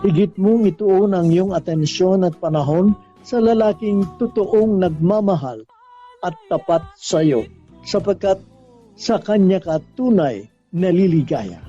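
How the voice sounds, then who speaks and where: A man hosting a radio drama, giving scripted, sentimental advice to a letter writer in deliberate, emphatic phrases. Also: high-pitched, animated, mellow. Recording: muffled audio, faint music bed.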